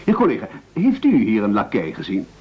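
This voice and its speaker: Pompous, husky, domineering gentleman. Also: lowish voice, huge pitch swings, fairly quick, exaggerated voice. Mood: pompous, cold. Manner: pompous, imperious, mock-civil. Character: pompous, coldly domineering gentleman castaway leader. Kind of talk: absurdist radio play acting. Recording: muffled old radio play recording.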